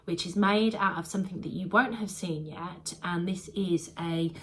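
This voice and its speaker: A woman's voice: on the low side, normal speed. Chatty congested woman sewing vlogger. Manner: chatty, rambling, with frequent "um"s. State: congested, a bit bunged up. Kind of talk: casual chat to camera.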